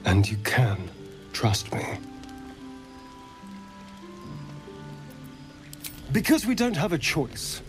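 A man's voice: mid-range voice, huge pitch swings, measured pace, music in the background. An actor playing a suave, sly vampire man in a video game, with theatrical, dramatic delivery and an undercurrent of need. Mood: earnest, pleading. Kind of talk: video game character acting.

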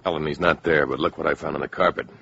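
An actor with an American accent playing a skeptical, uneasy suitor in a 1950s radio drama, his voice gravelly and husky. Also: very deep voice, steady tone, quick pace, bright, forceful tone, a little hiss or hum, full character voice. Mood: uneasy, puzzled. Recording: muffled old radio recording.

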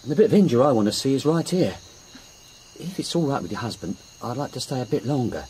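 An actor playing a humble Cockney stable lad and former jockey in a radio drama, plain-spoken and deferential. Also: male voice, mid-range voice, lively intonation, fast, mellow, slightly rough, rough recording. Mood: hesitant, honest.

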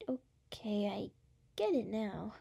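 Woman acting a slow, breathy, brash young gal. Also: mid-range voice, very animated, dark tone, heavily acted. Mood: scheming, teasing. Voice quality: breathy, often dropping into whispers. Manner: halting, teasing, exasperated. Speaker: voice actress. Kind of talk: ASMR roleplay.

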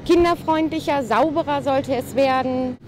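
A woman's voice: high-pitched, normal speed, low-quality recording. Earnest young woman, polite. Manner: earnest, polite, slightly apologetic. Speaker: street interviewee. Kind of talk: casual street interview answers. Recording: faint outdoor traffic noise.

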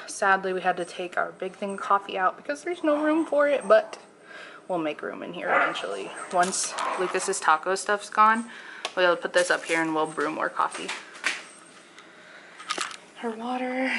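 A woman's voice: mid-range voice, noisy background. Blunt, joking young woman vlogger. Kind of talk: casual chat. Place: home kitchen and pantry.

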